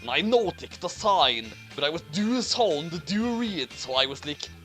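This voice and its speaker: A man reads a comic story aloud with a theatrical delivery. His pitch swings widely from phrase to phrase. Music plays faintly behind him. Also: sing-song, a little slow, fairly bright tone. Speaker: narrator reading a fan story aloud. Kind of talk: dramatic comedic reading of absurd fiction.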